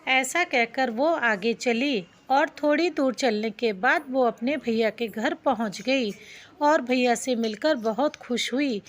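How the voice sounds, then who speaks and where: Slow, high-voiced woman storyteller. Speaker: narrator. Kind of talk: scripted children's folk tale narration.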